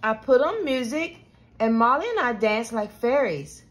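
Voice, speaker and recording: Lively high-voiced woman reading a storybook. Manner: expressive, deliberate read-aloud delivery. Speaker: storyteller reading a picture book aloud. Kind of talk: scripted children's storybook narration.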